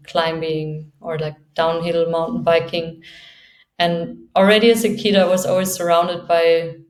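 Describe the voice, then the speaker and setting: A woman's voice: on the low side, a little mellow, roomy sound. A young woman from Austria with an Austrian accent, an athlete talking casually in an interview and laughing often between phrases. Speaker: guest, downhill skateboarder.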